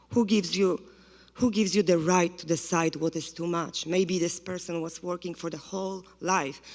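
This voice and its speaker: A woman's voice: lowish voice, creaky. Polish-accented woman pleading in a hall. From Poland. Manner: earnest, pleading, halting speech. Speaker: parent giving public comment. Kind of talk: public comment at a school board meeting. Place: school board meeting room. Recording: reverberant hall.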